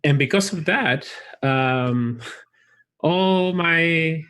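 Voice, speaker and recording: A man with a Chilean Spanish accent, a college recruitment director, talking in a friendly, relaxed way with frequent restarts in a casual interview. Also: fairly high pitch, extremely slow, clear, resonant tone. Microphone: video call.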